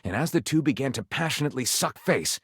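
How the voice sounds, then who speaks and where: A man's voice: mid-range voice, expressive, fast, husky, no room sound, studio quality. A man playing a theatrical, tongue-in-cheek narrator of pulpy detective tales in a video game, arch and savoring every dramatic turn.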